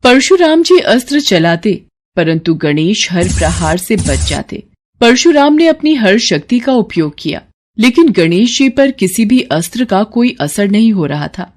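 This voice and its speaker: A woman's voice: mid-range voice, very animated, unhurried, slight background noise. A woman narrating a scripted devotional story as a storyteller.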